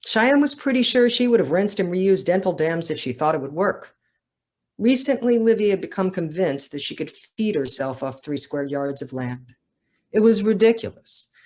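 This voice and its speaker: A woman author speaking at a literary event, with talk and reading from her own writing. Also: low voice. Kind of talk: casual talk, then literary reading aloud.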